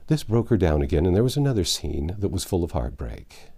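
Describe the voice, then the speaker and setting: A man narrating a classic novel aloud in a measured, dramatic storytelling manner. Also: low voice, big pitch swings, mellow. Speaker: audiobook narrator. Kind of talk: expressive literary narration.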